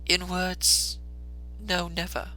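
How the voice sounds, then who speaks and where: A woman playing a gentle, steadfast, sorrowful young woman in a dramatic storybook reading, measured and solemn. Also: fairly low pitch, level tone, low-quality recording. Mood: sad, steady.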